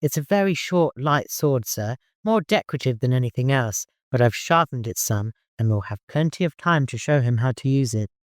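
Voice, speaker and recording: A man voicing a respectful, soft-hearted fighting man in an audiobook story. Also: mid-range voice, lively intonation, normal speed, hardly any pauses, no echo, studio quality, natural voice. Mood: respectful, modest. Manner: respectful, gruff.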